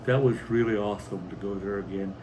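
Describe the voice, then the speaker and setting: A man's voice: fairly low pitch, fast talker. A middle-aged man chatting casually as a homestead vlog co-host, recounting things plainly in short phrases. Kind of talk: casual chat. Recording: clear steady background noise, like ventilation.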